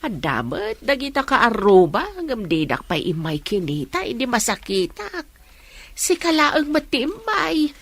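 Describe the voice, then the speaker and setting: Lively high-voiced Ilocano village mother. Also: unhurried, slight background noise. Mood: grateful, gentle. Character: older, fretful but gentle village mother. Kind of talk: Ilocano radio drama acting.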